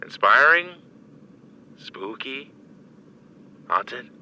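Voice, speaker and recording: Adult son, game character, reassuring, lightly teasing, very crisp bright edge. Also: male voice, medium pitch, very animated, very slow, a little hiss or hum, exaggerated voice, rough recording. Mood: playful. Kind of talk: naturalistic video game acting.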